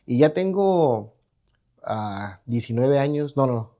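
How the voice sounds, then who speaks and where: A man's voice: mid-range voice, expressive, slow pace, dull audio. A middle-aged man with a Mexican Spanish accent, a guest on a podcast in his studio, chatting casually with frequent restarts and tag questions. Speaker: guest entrepreneur. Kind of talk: casual interview talk.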